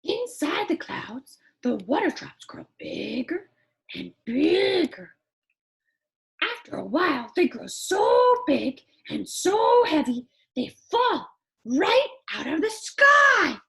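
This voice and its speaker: A woman's voice: very high-pitched, big pitch swings, takes it slowly, creaky, a bit bright, heavily acted. A woman voicing an eager childlike puppet friend who reads a picture book to children in an exaggerated, wondering manner. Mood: animated, wondering. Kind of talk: animated children's storybook read-aloud.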